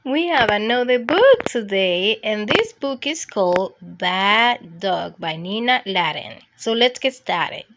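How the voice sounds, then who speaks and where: A woman's voice: medium pitch, big pitch swings, slow, few pauses, noisy background. A woman hosting a children's storytime, reading picture books aloud. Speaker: children's storytime host and reader. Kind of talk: read-aloud children's picture book narration.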